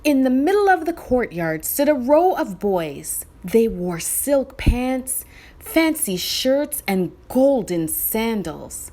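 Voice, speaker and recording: A woman with a high voice reads a children's story aloud. She narrates expressively and deliberately, taking her time over each phrase. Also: very animated, dark tone.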